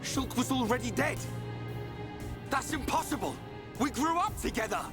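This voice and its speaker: A man's voice: very high-pitched, animated, normal speed. A man voicing an anguished, defiant young hero in a video game, crying out with passion. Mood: anguished, disbelieving. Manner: impassioned, crying out. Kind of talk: video game cutscene acting. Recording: voice under loud music.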